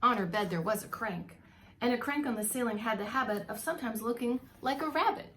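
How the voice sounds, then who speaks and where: A woman preschool teacher reading a picture book aloud to young children, expressively, pausing to explain and ask questions. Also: fairly high pitch, animated, fairly bright tone, a little hiss or hum.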